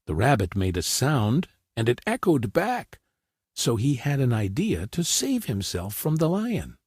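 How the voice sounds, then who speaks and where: A man's voice: mid-range voice, wildly swooping pitch, a little slow, a little mellow, breathy edge, no room sound, studio quality. A man narrating scripted fairy tales for children as a storyteller.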